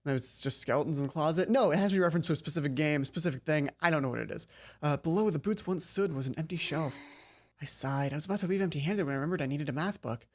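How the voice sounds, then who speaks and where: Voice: medium pitch, fast talker. A let's player reading visual novel narration aloud, stumbling and rereading lines, with casual asides. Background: soft game music.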